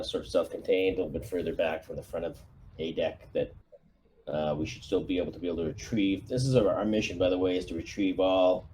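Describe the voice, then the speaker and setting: A man with a very deep, dark voice lays out a plan as the commander in a tabletop roleplaying game. He thinks aloud and speaks haltingly. There is slight noise behind him. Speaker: player acting as squad commander.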